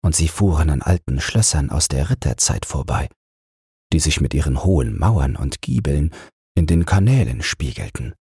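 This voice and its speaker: A man gently narrating a bedtime fairy tale in a soothing, measured way, close to the microphone. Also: a bass voice, animated, breathy edge, no echo. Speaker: audiobook narrator.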